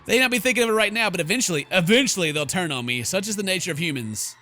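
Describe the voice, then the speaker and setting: A man's voice: sits high, lively intonation, very fast, pushed and bright. A man hosting a casual game playthrough, joking and exclaiming as he comments and reads text aloud. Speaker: gaming video host. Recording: faint game music behind the voice.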